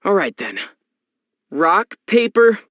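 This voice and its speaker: A man voicing a calm young traveler in a video game, measured and gently teasing. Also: sits high, very noisy, exaggerated voice. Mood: warm, playful. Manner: measured, wry.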